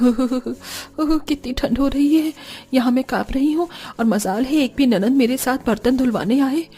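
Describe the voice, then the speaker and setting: A woman's voice: high voice, a little slow, dark tone, husky. A woman playing a dutiful, exasperated young daughter-in-law in a cartoon story, weary and complaining yet compliant. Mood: miserable. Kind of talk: Hindi cartoon story dialogue.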